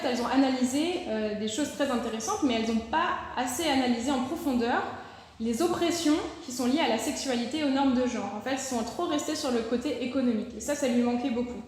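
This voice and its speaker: A woman's voice: sits high, fast talker, darkish tone. A young woman giving an informal theology talk, chatty and full of fillers and restarts. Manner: conversational, many fillers and restarts. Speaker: theology lecturer. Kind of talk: informal talk to a church audience. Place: church hall. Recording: very echoey hall, faint noise.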